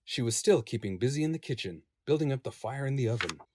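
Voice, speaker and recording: A man's voice: medium pitch, expressive, fast talker, a little mellow, no room sound, clean studio sound. A man reading scripted audiobook narration as a voice-over narrator.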